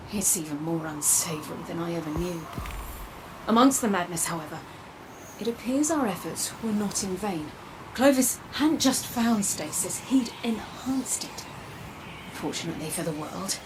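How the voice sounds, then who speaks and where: Woman acting as a grave guarded android lady from a dark future, video game cutscene, measured n earnest, noisy ambience behind. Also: on the low side, close to an everyday voice.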